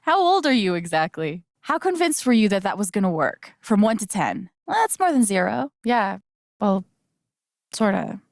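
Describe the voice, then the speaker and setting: A woman's voice: mid-range voice, very animated, normal speed, gravelly, no room sound, studio quality. An actress playing a candid, wry young woman in a video game, speaking in a plain, conversational way. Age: young adult. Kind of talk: naturalistic video game acting.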